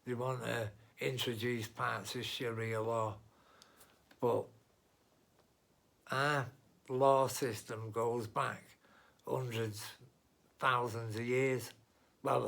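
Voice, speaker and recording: A man's voice: medium pitch, steady tone, slow, creaky, pauses often, fairly bright tone. A man giving a blunt, rambling monologue straight to his viewers, with restarts and asides. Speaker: vlogger. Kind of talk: unscripted political monologue.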